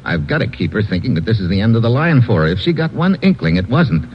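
An actor playing a wry, tough American secret agent in a hard-boiled old radio drama, clipped and world-weary. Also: male voice, lowish voice, very animated, quick pace, a little hiss or hum. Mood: brisk, guarded. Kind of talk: hard-boiled 1940s-50s radio narration and acting. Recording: muffled old radio recording, loud music.

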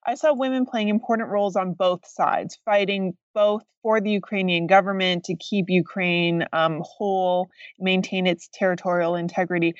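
A woman academic speaks in a measured, thoughtful way, pausing with small fillers as she explains. Her voice sounds distant and dull, like remote lo-fi audio. The sound is dry. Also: mid-range voice, no echo. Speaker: guest author, professor. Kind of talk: podcast interview answers.

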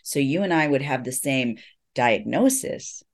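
A middle-aged man, a psychologist guest, explaining things in an earnest, reassuring, measured way in a conversational interview. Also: medium pitch, lively intonation.

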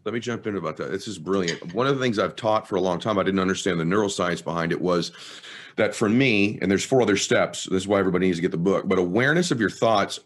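A man hosting an interview, reflecting at length and warmly affirming what his guest says. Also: low-pitched, fast. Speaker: podcast host. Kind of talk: conversational interview.

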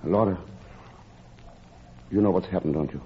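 An actor playing an ardent, earnest gentleman lover, courteous and urgently pleading, in 1940s radio drama style. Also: low voice, normal speed, natural voice. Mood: tender, urgent. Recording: muffled, noisy vintage 1940s radio recording.

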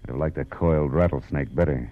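Male private detective, low-pitched, cool and commanding, terse noir delivery, dull vintage radio sound. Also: fast talker, a little hiss or hum. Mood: tense, brooding. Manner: clipped, tough, world-weary. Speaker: lead actor and narrator. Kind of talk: 1940s radio noir acting and narration. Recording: muffled old radio recording with music.

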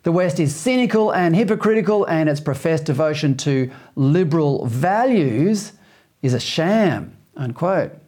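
Australian man, talk show host, slightly high voice, brisk commentary. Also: lively intonation, a little mellow.

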